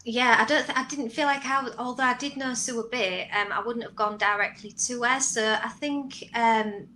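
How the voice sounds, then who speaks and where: Woman on a video call panel, bright voice, hesitant, bit of background hiss. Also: a little high, steady tone, normal speed. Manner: hesitant, self-correcting. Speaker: scriptwriter guest.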